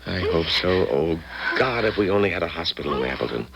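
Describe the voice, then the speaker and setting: An actor playing a grave, devout small-town doctor in a 1970s radio drama, gravelly and measured, with faint broadcast noise. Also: male voice, low voice, expressive, fairly bright tone, highs cut off, full character voice.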